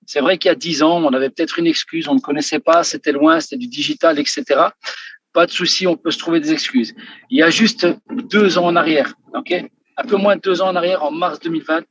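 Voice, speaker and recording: A man giving an emphatic motivational sales pitch on a video call, often checking in with the audience by asking "ok?". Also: fairly even intonation, brisk, fairly bright tone, slightly rough, no room sound. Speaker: company manager giving a testimony. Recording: dull, dry video-call audio.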